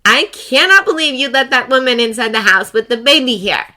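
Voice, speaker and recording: A woman reading a story voices a sharp, exasperated female assistant who scolds. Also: mid-range voice, moderate pace, pitched above the performer's own voice, close to an everyday voice.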